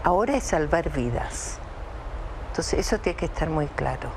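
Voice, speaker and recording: An older woman from Chile, interviewed as an evacuee from Israel, speaking in a measured, reflective way with hesitant restarts. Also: low voice, expressive, background noise. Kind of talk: emotional interview testimony.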